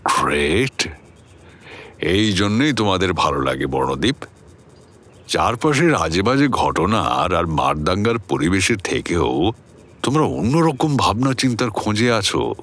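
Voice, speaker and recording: Very deep, animated avuncular mentor. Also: male voice, unhurried, slightly rough, heavily acted. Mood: genial, expansive. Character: genial older learned man, storytelling mentor, amused and assured. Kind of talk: Bengali radio drama acting.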